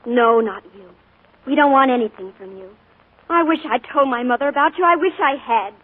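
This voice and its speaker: A woman playing an earnest young woman in a 1940s radio drama, speaking quietly and tensely but firmly when she stands her ground. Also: high voice, a little fast. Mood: defiant. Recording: narrow 1940s radio broadcast.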